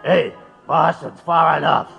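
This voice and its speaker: An actor playing a cocky, threatening hired thug with a gravelly voice in an audio drama. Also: male voice, a little high, steady tone, unhurried, fairly bright tone, heavily acted. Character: menacing male hired thug, slow and cocky. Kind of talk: radio drama acting. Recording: reverberant room with music underneath.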